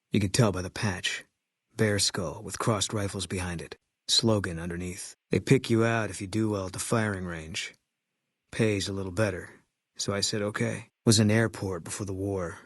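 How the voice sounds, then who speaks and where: An actor playing a terse, guilt-haunted ex-army sniper in a video game, deadpan and clipped, with a low husky voice. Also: male voice, dry recording. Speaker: video game companion character.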